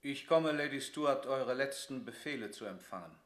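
An actor playing a formal English lord, a royal envoy delivering official word in declaimed classical radio drama style. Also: male voice, mid-range voice, expressive, normal speed, fairly bright tone, natural voice. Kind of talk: classical German verse radio drama acting. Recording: echoey room.

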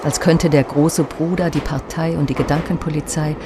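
A woman narrating a dystopian novel solemnly in a radio play, in a measured literary style. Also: low-pitched, fairly even intonation, noisy background, close to an everyday voice.

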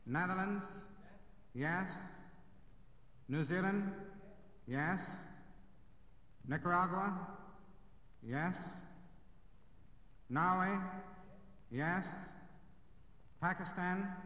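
A man slowly and formally calling a roll at an assembly vote, in an old archival recording. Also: sits high. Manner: slow, formal calling of names. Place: large assembly hall. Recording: lo-fi 1947 archive recording in an echoing hall.

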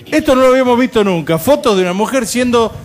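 High-voiced Argentine man joking on radio. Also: expressive, quick pace. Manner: joking, mocking. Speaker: radio show host. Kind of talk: casual comic political chat. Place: bar, live radio broadcast.

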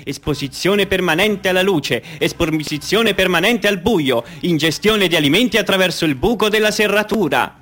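A man's voice: high voice, normal speed, few pauses, a bit bright. An actor playing an insistent Argentine man haunted by the horrors of dictatorship in a radio play, speaking in an urgent, repetitive way. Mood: grim reciting. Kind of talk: radio theatre acting.

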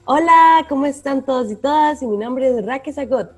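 A woman with a Costa Rican Spanish accent co-hosting a podcast. She speaks warmly and with enthusiasm. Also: high voice, moderate pace, soft background music. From Costa Rica. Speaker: podcast co-host, environmental educator. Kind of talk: casual podcast chat.

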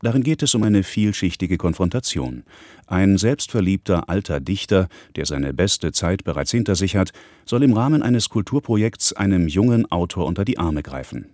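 A man with a deep voice reads a scripted radio introduction. His delivery is measured and factual, and the sound is close and dry, with no room around it. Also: animated, darkish tone, no room sound. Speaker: radio presenter.

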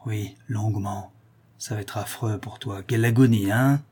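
A man voicing a cruel, vengeful criminal brute with a slow, hollow, menacing delivery in a storybook reading. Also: mid-range voice, animated, warm tone, husky, voice pushed up. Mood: cold vengeance.

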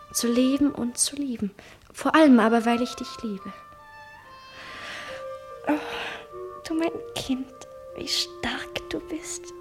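An actress playing a tender, yearning young woman in love in a 1960s German radio play, breathy and fervent, often dropping to a whisper. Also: high-pitched, a little mellow. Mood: rapturous. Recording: 1960 radio drama, faint music.

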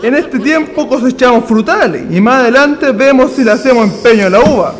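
A very high-voiced, folksy Chilean countryman played by a male actor chats in rustic slang. It is radio drama, with a long reverb and faint noise behind. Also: animated, a little fast. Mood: chatty. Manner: colloquial, rustic. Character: chatty, folksy rural farm labourer, male.

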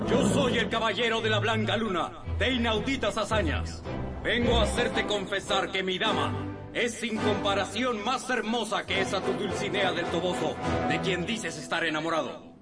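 Radio play casting: a man playing a haughty, boastful knight, high-voiced and formal, over very loud music. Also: fairly even intonation, moderate pace, lightly acted. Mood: haughty challenge. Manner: formal, proclaiming. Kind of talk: radio drama acting.

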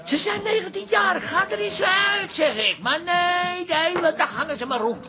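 A woman's voice: very high voice, animated, normal speed, music in the background. An actress playing a blunt, exasperated mother who complains emphatically in a radio comedy-drama.

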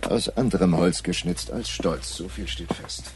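A man's voice: sits low, moderate pace, darkish tone, lightly acted. An actor playing a self-important, needling male journalist, sardonic and smug, in a German radio crime drama. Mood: wry, confiding. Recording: clear background noise.

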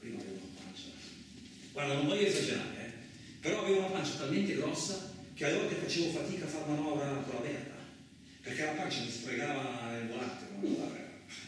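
An actor playing a rough, rambling male truck driver in a colloquial radio theatre monologue, with an earthy, agitated manner. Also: fairly high pitch, expressive, a little fast, close to an everyday voice. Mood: wistful, joking. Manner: earthy, agitated, rhetorical tags, swearing. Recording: echoey distant room, faint noise.

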